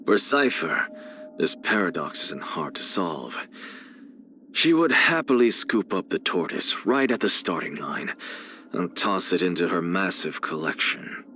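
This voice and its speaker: An arrogant male scholar from a video game, lecturing with cool contempt. His voice sits fairly low yet has a crisp, forceful edge, and it swings theatrically in pitch as he takes his time over each phrase. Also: big pitch swings, a little hiss or hum, heavily acted. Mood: measured, reflective. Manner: haughty, deliberate, theatrical, lecturing.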